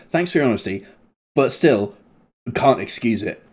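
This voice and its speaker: A man's voice: mid-range voice, lively intonation, unhurried, breathy edge. A man co-hosting a casual podcast, joking and laughing, with playful mock voices. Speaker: podcast co-host. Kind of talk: casual chat.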